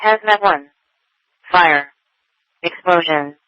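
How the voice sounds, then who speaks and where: A man's voice: a little high, takes it slowly, highs cut off. A man's voice making a formulaic fire station alert over radio, listing units and address in staccato phrases. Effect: two-way radio. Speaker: automated-style fire alerting announcer. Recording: band-limited emergency scanner radio.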